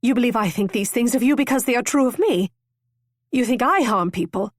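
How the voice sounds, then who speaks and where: A woman reading the lines of an impulsive young lady, sharp-tongued and easily hurt. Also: a little high, expressive, a little fast, no room sound, clean studio sound, pitched above the performer's own voice. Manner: tart, tearful indignation.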